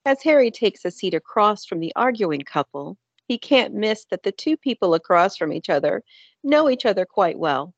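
A woman narrates a radio play from a script. Her voice is medium in pitch, recorded dry and close to the microphone. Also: very animated, moderate pace, no echo, lo-fi sound.

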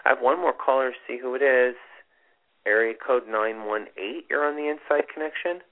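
A man's voice: medium pitch, moderate pace. A man hosting a call-in astrology show on the radio, giving readings in a reassuring, conversational way. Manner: reassuring, conversational, says "you know" often. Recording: tinny phone-quality radio broadcast.

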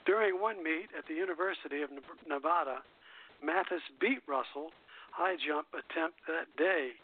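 A male radio host with a fairly high voice reads a biographical script over a thin, phone-like connection. His delivery is halting, and he trips over words and repeats them as he goes. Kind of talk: reading a scripted artist biography on an old-time radio show. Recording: narrow tinny phone-line internet radio sound.

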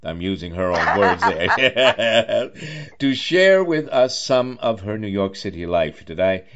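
A man's voice: lowish voice, expressive, takes it slowly, muffled recording, background noise. A man interviewing as a radio host in a chatty style, with effusive, wordy and rather formal phrasing.

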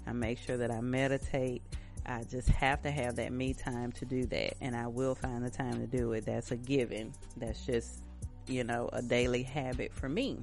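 A woman's voice: a bass voice, flat delivery, normal speed, darkish tone, faint music behind. A woman hosting a casual self-care talk, chatting directly to her listeners in an encouraging, repetitive way, a little stuffy-nosed from allergies. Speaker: host.

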